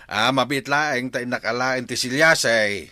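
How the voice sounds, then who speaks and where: A man's voice: medium pitch, a little slow, bright, forceful tone, dry recording. A man with an Ilocano accent playing a bickering husband in a comedy drama. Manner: bickering, exclaiming.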